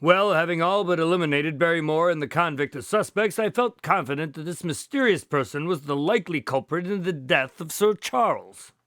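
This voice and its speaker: An actor in a radio drama playing a polite, inquisitive police consultant, courteous and measured in his questions and narration. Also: fairly high pitch, a bit bright, dry recording, studio quality, natural voice. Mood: recounting.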